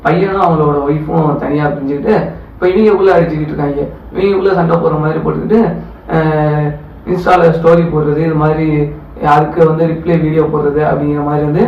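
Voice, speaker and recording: A man's voice: fairly high pitch, gravelly. A man giving casual, colloquial and critical commentary as a video host. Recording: distant, echoey, dull with faint noise.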